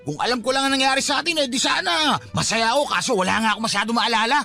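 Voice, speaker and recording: A man's voice: very high voice, very fast, vocal fry, sharp tone, faint music behind, exaggerated voice. An actor playing a teasing young man, a devoted mama's boy, in Filipino radio drama style. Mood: insistent.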